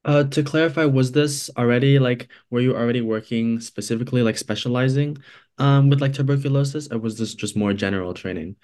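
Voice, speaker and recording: A man's voice: medium pitch, fairly quick, a little mellow. A young man, a university student hosting a podcast, reading an intro and then asking eager, hedging questions full of "like". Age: young adult.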